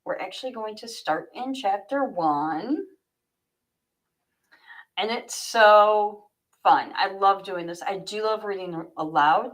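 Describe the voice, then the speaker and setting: A woman's voice: mid-range voice, slow pace, pauses often, low-quality recording. A woman livestreaming as an author, chatty and informal as she speaks straight to her viewers. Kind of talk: casual livestream chat, then reading own fiction aloud.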